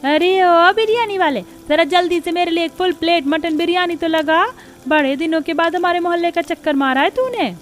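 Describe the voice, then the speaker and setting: Haughty, high-pitched neighbour woman, acted out. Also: moderate pace. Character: haughty, taunting female neighbour with money. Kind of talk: Hindi cartoon story voice acting.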